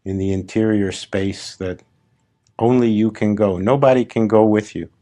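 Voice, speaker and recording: A man leading a guided meditation as a spiritual teacher, in short, gently instructive phrases with long, unhurried pauses between them. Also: fairly low pitch, steady tone.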